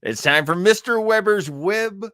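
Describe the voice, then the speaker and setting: A man's voice: high-pitched, a little slow, sharp tone, no echo, studio quality. A man hosting a live stream about old-time radio, chatting in a rambling, enthusiastic, theatrical way. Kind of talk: casual live stream chat.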